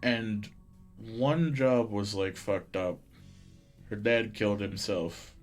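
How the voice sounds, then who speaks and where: A man's voice: on the low side, slow. A man live streaming a game, chatting casually with some swearing and reading the on-screen text aloud. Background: game music.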